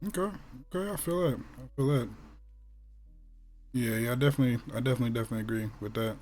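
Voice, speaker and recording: A man's voice: mid-range voice, fast, darkish tone. A man with an American accent and a mid-range voice talks as a podcast co-host. He is informal and slangy, often doubling his phrases for emphasis, over faint background music. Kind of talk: casual chat about games and movies.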